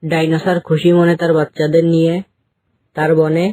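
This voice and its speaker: A man's voice: fairly high pitch, monotone, moderate pace, dark tone, dry recording. A man narrating a scripted children's story.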